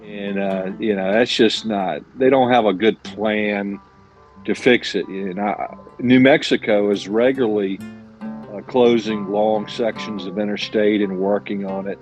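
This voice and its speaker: A man's voice: fairly low pitch, steady tone, a little slow, dull audio, faint music behind. A man who drives his own truck, answering interview questions in a plainspoken, earnest way, with many restarts and self-corrections. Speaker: owner-operator truck driver, interview guest.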